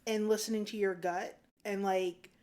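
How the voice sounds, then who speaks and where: A woman's voice: medium pitch, fairly even intonation, moderate pace, darkish tone. Easygoing woman in her thirties. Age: 30s. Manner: easygoing, reflective, laughs often. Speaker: podcast guest. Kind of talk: casual chat.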